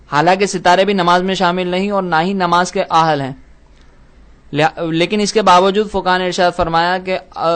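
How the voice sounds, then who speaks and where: A man delivers an Islamic question-and-answer lecture in a fairly high, very clean and resonant voice. His wording is formal and scholarly, and he lays out his explanation methodically, point after point. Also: clean tone.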